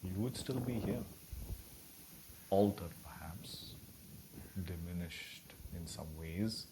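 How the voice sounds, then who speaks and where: A man leading a guided meditation in a gentle, soothing, unhurried way with long pauses between phrases. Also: sits low, noisy background.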